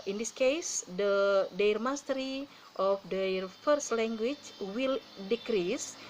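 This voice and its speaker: A middle-aged woman, in Buginese, speaks English. She presents a class topic with frequent hesitations and fillers. She is recorded close to a phone camera outdoors, with steady traffic noise behind her. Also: mid-range voice. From South Sulawesi, Indonesia. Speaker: student presenter. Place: outdoor porch.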